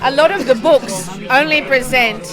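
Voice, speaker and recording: A woman's voice: high voice, normal speed, a crowd in the background. A woman with an Australian accent, a university language teacher, explaining at length in a casual interview.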